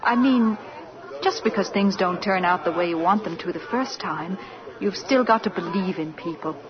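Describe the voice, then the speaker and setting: A woman's voice: medium pitch, normal speed, a crowd in the background, natural voice. An actress in a 1940s radio play voicing a caring, sensible mother, earnest and tender, on a dull old broadcast recording.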